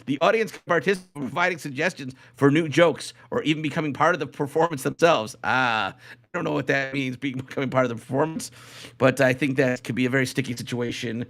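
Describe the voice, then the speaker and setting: A man's voice: medium pitch, fast talker, a bit bright. A rambling, jokey male podcast host. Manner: rambling, jokey, reads out list items with commentary. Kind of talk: casual comedic podcast chat.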